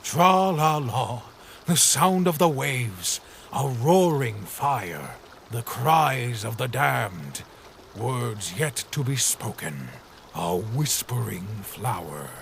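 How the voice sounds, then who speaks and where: A serene, riddling ferryman who drifts through his words at an unhurried pace, as a man puts him on. His sing-song lines rise and fall with a lot of movement. The recording has faint background noise. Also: medium pitch, sing-song, gravelly, exaggerated voice. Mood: mysterious. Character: cryptic hooded boat ferryman, serene and riddling. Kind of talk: fan comic dub.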